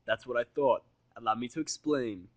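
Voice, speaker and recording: Defiant human protagonist voiced by a man, mid-pitched, dry close sound, brash sarcasm, confrontational fan audio drama. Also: normal speed, no room sound, close to an everyday voice. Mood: cocky. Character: defiant foul-mouthed human man, bluffing and scolding.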